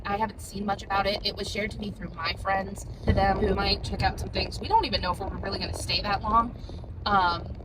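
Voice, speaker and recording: A woman's voice: mid-range voice, noisy background. A woman in her twenties co-hosting a casual vlog from a car, rambling with lots of fillers. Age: 20s. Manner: rambling, chatty, filler-heavy. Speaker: vlog co-host.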